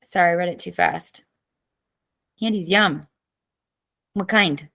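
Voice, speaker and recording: A woman's voice: fairly low pitch, slow, creaky, fairly bright tone. A woman in her thirties teaching a live online class, chatty and greeting students by name, with her voice cracking as she loses it.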